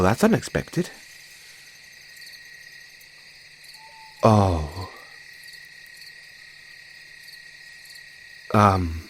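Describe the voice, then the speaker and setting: A man playing a tender, excitable mad scientist boyfriend in an audio roleplay, speaking in a halting, geeky manner. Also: lowish voice, slight background noise. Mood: hesitant, puzzled. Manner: halting, geeky, swinging between gentle and eager. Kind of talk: scripted audio roleplay to listener.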